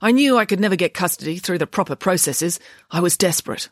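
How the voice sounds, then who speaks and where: Storybook villain: female reader as a scheming, blustery dad, insistent and highly inflected, recorded dry. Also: expressive, fast, no room sound. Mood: pleading. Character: indignant, scheming ex-convict father, adult man.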